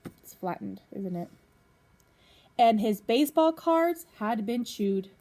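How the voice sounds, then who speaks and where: A woman reading a children's picture book aloud as a teacher does for pupils, pausing to put questions to young listeners. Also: fairly high pitch, lively intonation, dark tone, low-quality recording.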